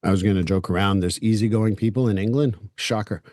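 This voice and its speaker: A man's voice: fairly low pitch, quick pace, a little mellow, dry recording, clean studio sound. A man from Canada whose first language is French, hosting an interview in a direct, joking, emphatic way. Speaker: podcast host. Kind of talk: interview conversation.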